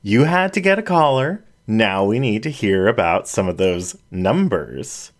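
A woman's voice: rumbling low, big pitch swings, measured pace, pitched below the performer's own voice, full character voice. A reader voicing a playful grown female retriever dog with a teasing manner.

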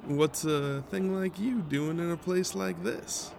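A man's voice: on the high side, slow, vocal fry, noisy background, exaggerated voice. An actor playing a sleazy man who pesters women at a bowling alley, in an indie audio drama. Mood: leering. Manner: leering, pushy, then backs off.

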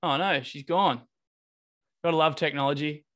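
A man's voice: a little high, steady tone, moderate pace, a bit bright. Easygoing man hosting a video call. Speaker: workshop facilitator. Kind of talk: running an online group session.

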